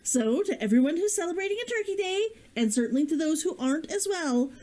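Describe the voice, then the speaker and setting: A woman streamer and author with an expressive way of talking, chatting to viewers and reading a story aloud. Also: very high voice, a little fast. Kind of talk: chatty greeting, then storybook read-aloud.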